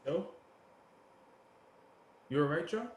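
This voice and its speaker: A teasing, concerned street buddy in a stage drama. His voice swings up and down at a slow pace, in an echoey room with faint noise. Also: a little high, animated. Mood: amused concern. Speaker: actor. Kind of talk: dramatic table reading. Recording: roomy echo, faint background noise.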